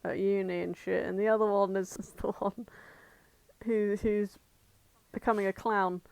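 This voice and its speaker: A woman chatting casually as a podcast co-host, blunt and quick to swear. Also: medium pitch, little pitch movement, vocal fry, darkish tone, clean tone, dry recording. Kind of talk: casual chat.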